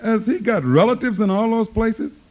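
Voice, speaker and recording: A man's voice: high voice, lively intonation, moderate pace, a little mellow. A man playing a puzzled, grumbling railroad attendant with folksy griping in a 1950s radio comedy.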